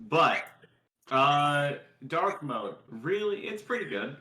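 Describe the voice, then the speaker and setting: A man's voice: fairly high pitch, very animated, takes it slowly, bright, forceful tone. A man chatting casually as a tabletop player, joking in a mock-exasperated way. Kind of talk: casual chat. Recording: roomy echo, faint noise, clicks.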